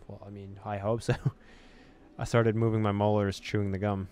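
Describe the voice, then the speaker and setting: A man's voice: fairly low pitch, little pitch movement, normal speed, dark tone, clean tone. A man hosting a let's-play, reading story text expressively and dropping in casual asides. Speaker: gaming video host.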